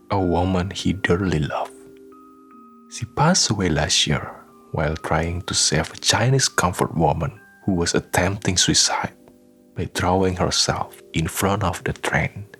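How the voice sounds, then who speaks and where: Deep-voiced, unhurried male narrator. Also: fairly even intonation, breathy edge. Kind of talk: scripted narration of a radio drama. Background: soft guitar music.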